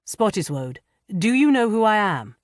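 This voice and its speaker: A woman voicing a strong young nobleman who speaks in a dry, measured way. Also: mid-range voice, big pitch swings, dry recording, voice pushed up, lightly acted. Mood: composed.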